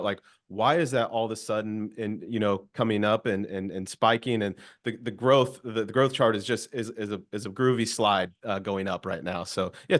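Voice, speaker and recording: Male interview host, slightly low pitch, casual, upbeat, repeats words as he talks.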